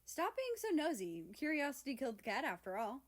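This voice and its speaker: A woman's voice: high-pitched, huge pitch swings, a little fast, voice pushed up, exaggerated voice. A woman voicing a smug female feathered guardian in a storybook, teasing others in a gleeful, mocking manner. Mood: warning, smug.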